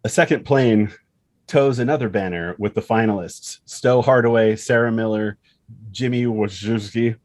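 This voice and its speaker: Male narrator, low pitch, dry close sound, reading screenplay stage directions. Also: moderate pace, darkish tone, dry recording. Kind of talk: screenplay narration at a table read.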